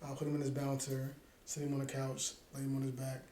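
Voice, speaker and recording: A man's voice: medium pitch, completely flat delivery, fast, dark tone. A man in his thirties co-hosting a podcast, chatting casually in a laid-back, joking way with frequent swearing. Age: 30s. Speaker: podcast co-host. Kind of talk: casual chat. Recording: echoey room with faint ambient noise.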